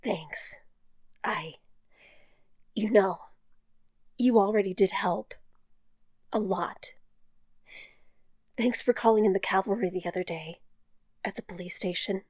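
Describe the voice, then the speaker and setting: A woman playing a grieving, self-doubting young woman in an audio drama, subdued and halting. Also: medium pitch, breathy edge, no echo. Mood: subdued gratitude. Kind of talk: naturalistic audio drama dialogue.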